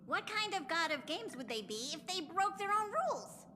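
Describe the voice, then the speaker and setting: A woman voicing a breezy, teasing, lively female character in a video game. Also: very high voice, normal speed, in a large hall, soft background music, exaggerated voice.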